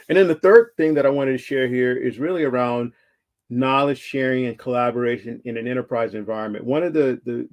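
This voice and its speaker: A man's voice: mid-range voice, creaky, darkish tone. A man giving a conversational virtual conference talk over slides, with frequent fillers and restarts. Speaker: conference speaker.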